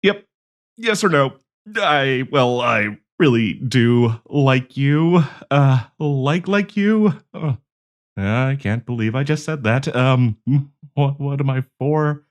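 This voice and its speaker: A man's voice: mid-range voice, lively intonation, takes it slowly. A man playing a nervous, awkward young man shyly confessing love to a friend in an audio roleplay, stammering and hesitant. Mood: nervous, earnest. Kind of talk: intimate audio roleplay acting.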